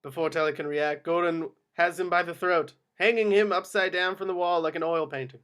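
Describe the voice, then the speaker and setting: A man's voice: a little high, fairly even intonation, fairly quick, a bit bright, smooth. A man reading screenplay action descriptions as scripted narration at a table read. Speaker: reader of stage directions.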